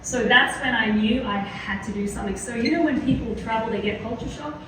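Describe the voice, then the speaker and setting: An Australian woman gives a talk in a lecture hall, chatty and eager, her slightly high voice scattered with fillers. She stands some way from the mic, and the room rings with heavy echo and steady background noise. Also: a little fast, far from the mic. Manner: enthusiastic, chatty, with many fillers. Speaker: keynote speaker. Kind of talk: presentation to a small audience. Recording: very echoey hall, heard from afar.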